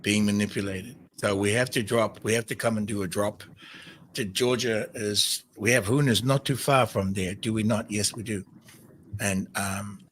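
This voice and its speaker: A man hosting a spiritual call-in show, greeting callers as brother and answering in a searching, halting way. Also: on the low side, steady tone, a little hiss or hum. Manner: warm address, calls people brother and mother. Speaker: host.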